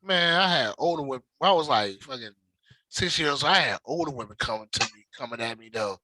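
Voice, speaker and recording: A man in his forties chatting casually on a reaction show, telling stories in a loose, profane, animated way. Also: mid-range voice, a little fast, dry recording. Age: 40s. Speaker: co-host of a reaction channel.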